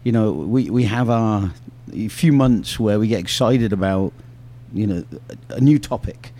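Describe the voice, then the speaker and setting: A man's voice: on the low side, measured pace, dark tone. A man, a youth soccer coach, talking reflectively in a casual podcast with many fillers. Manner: reflective, joking, filler-heavy.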